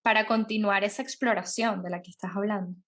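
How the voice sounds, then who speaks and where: A woman hosting an interview podcast, lively and affirming as she draws out her guest. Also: medium pitch, brisk. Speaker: podcast host. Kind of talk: casual interview conversation.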